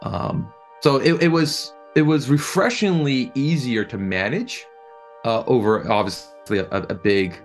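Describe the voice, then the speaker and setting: A man answering interview questions as a producer, speaking thoughtfully and conversationally, often halting and repeating words. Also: mid-range voice, faint music behind.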